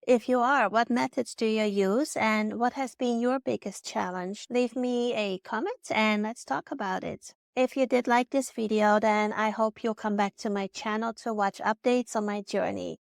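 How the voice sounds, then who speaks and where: A woman's voice: on the high side, steady tone, normal speed, creaky, hardly any pauses, clear, resonant tone, no room sound, clean studio sound. A woman from the Netherlands with a Dutch accent, narrating a personal vlog in an earnest, reflective way. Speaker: vlogger.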